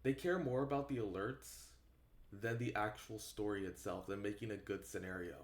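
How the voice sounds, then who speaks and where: Man, medium pitch, casual online creator, off-the-cuff opinion talk, halting, rambling, repetitive for emphasis.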